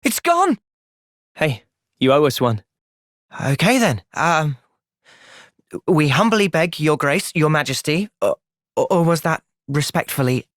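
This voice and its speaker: A man's voice: a little high, very animated, a little slow, pauses often, fairly bright tone, dry recording, studio quality. A young man with a British accent playing an earnest, thoughtful, polite and determined young hero in video game cutscenes. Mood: anguished, urgent, then hesitant.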